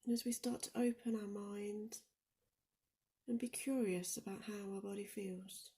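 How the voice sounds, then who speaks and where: A woman guides a body scan meditation in a mellow, relaxed voice with a creaky edge. Her delivery is soft and soothing, often sinking close to a whisper, and she leaves gentle pauses between phrases. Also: medium pitch. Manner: slow and deliberate with gentle pauses.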